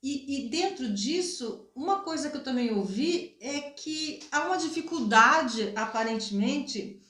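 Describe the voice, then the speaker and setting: A woman's voice: sits high, lively intonation, a little slow, a bit bright. A woman, hosting a conversational interview and feeling her way through her questions with rephrasing. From Brazil. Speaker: interviewer, host. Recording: roomy, echoing room sound.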